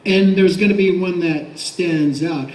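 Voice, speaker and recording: A man's voice: high voice, unhurried, darkish tone. A middle-aged man talking casually and anecdotally on a convention panel, rambling as he explains his work as a voice director and actor. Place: large indoor convention panel room. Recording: roomy convention hall, distant mic.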